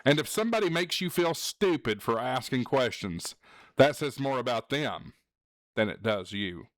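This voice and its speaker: A man's voice: medium pitch, lively intonation, normal speed, a bit bright, no room sound. Earnest man with a dry, close sound. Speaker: YouTube channel host. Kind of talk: candid advice vlog to viewers.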